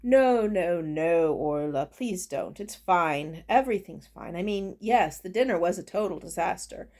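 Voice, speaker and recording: A woman's voice: on the low side, lively intonation, a little slow, dark tone. A woman voicing a kindly, awkward male castle master who speaks hesitantly and reassuringly in a fantasy story. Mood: flustered, reassuring.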